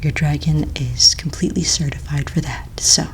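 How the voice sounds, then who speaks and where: Soft, whispery low-voiced woman, ASMR roleplay. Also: fairly even intonation, moderate pace. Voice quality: soft, breathy, mostly whispered. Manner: gentle, polite, reassuring clerical patter. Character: courteous, patient female office manager registering pets. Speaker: ASMR roleplayer playing an office manager.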